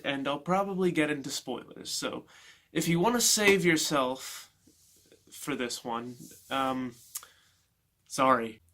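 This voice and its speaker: A man giving a casual, unscripted book review in an informal, opinionated way, halting as he gathers his thoughts. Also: medium pitch, fairly bright tone.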